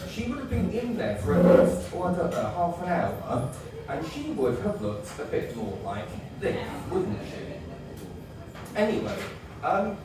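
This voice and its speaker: A man doing an oblivious man-child telling a children's story, earnest and rambling with many ums, his voice a touch high and bouncing all over. Recorded from a distance in an echoey live venue with background noise. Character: childlike 26-year-old man-child, mummy's boy, oblivious. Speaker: comedy character hosting kids' story time. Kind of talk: live stand-up character comedy. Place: live comedy stage.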